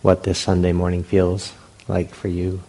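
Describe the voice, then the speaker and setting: A man with a very deep, gravelly voice guides a meditation. His tone is dark and mellow, and he keeps it fairly even. He speaks gently and unhurriedly in short phrases, leaving long pauses between them. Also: poor audio. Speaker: meditation teacher.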